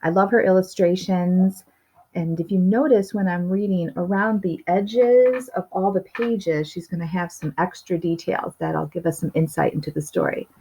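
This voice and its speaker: A woman's voice: lowish voice, steady tone, normal speed, warm tone, slightly rough. A woman reading a children's storybook aloud expressively, with little asides to the listeners. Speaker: storybook reader. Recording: slightly dull, lo-fi sound.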